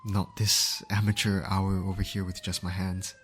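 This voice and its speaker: A low-pitched male voice , acted by a man, plays a gentle medic in an ASMR roleplay. He is attentive and coaxing, steadily reassuring an injured patient. Faint siren and vehicle noise sit behind him. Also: flat delivery, normal speed. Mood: concerned, soothing. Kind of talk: ASMR comfort roleplay acting.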